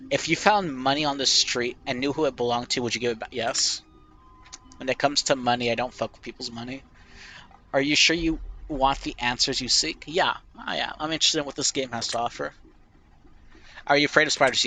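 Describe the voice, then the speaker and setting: Young male gamer, nasal and bright, thinks aloud with rambling, self-deprecating answers. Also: mid-range voice, slight background noise. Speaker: gamer playing a question game. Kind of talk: casual reflective commentary.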